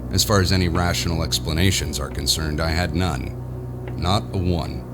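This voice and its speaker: Middle-aged business boss played by a male actor, deep voice, haunted and halting, folksy rambler, audio horror drama. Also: fairly even intonation, music in the background, natural voice. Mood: rattled, insistent. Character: spooked, rambling male business boss recounting his ordeal.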